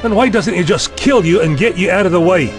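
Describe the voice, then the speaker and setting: A man's voice: high-pitched, brisk, music in the background, lightly acted. A man playing a sarcastic young official. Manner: dry, sarcastic. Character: sardonic young male official, skeptical. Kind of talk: audio drama acting.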